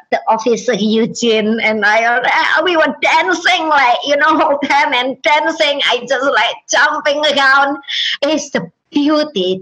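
A woman with an Indonesian accent, a native Indonesian speaker, giving personal testimony as an interview guest in halting English full of fillers and restarts. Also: on the high side, fairly bright tone, rough recording.

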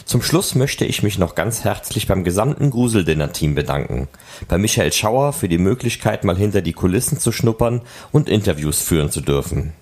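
Low-voiced man narrating with lively intonation. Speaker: podcast host. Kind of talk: scripted podcast narration.

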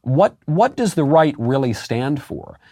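A man with a deep voice, a guest in a political interview, arguing emphatically with rhetorical questions and sudden jumps in pitch on key words. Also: sing-song, a little slow, dark tone, dry recording, clean studio sound. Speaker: interview guest, conservative commentator.

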